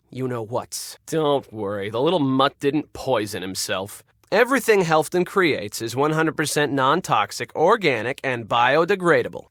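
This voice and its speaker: An actor playing a pushy, irritable spa resort manager in a video game, snippy and sarcastic. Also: male voice, medium pitch, fairly bright tone, no echo, clean studio sound, close to an everyday voice. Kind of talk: scripted video game dialogue.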